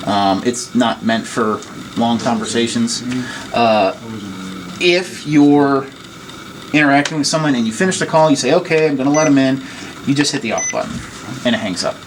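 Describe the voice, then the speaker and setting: A man giving an informal hands-on training demonstration, explaining things plainly and step by step. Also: mid-range voice. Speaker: technician giving staff training. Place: indoors, at an equipment station. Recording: noisy room, clear background noise.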